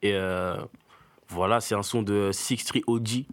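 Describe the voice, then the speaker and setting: A man co-hosting a casual show, talking in a relaxed, rambling way with many fillers. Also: on the low side, a little slow. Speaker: co-host. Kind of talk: casual banter on a radio-style show.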